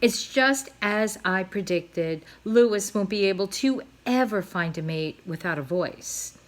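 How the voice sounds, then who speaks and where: A woman's voice: medium pitch, animated, measured pace, natural voice. A woman voicing a practical, mildly exasperated mother swan in a storybook read-aloud, dry and matter-of-fact. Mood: worried, annoyed.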